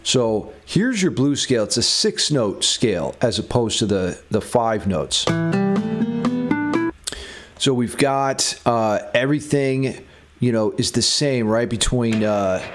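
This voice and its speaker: A man's voice: medium pitch, expressive, slow pace. Man teaching guitar theory, guitar behind him. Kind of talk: casual music theory lesson. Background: guitar music.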